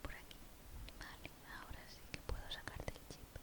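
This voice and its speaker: A woman speaking in a breathy whisper, soothing and careful, in an ASMR role-play addressed straight to the listener. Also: normal speed. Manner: soothing, careful, reassuring, with diminutives. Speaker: ASMR creator role-playing a robot repairer.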